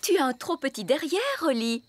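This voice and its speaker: A woman's voice: high-pitched, animated, fairly quick, very noisy. A woman voicing a bossy, self-assured fairy nanny in a French cartoon dub, with a know-it-all manner. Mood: brisk, polite.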